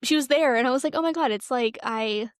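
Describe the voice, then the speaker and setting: A woman co-hosting a podcast, casually telling nostalgic personal stories and acting out quoted dialogue in an animated way. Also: high-pitched, fairly quick, no room sound, clean studio sound. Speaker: podcast co-host. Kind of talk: casual chat, nostalgic anecdotes.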